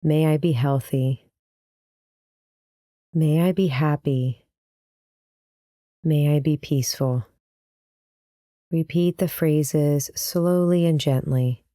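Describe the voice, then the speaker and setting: A woman leading a guided meditation as a teacher, speaking in a gentle, soothing, unhurried manner. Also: deep voice, fairly even intonation, dry recording, studio quality.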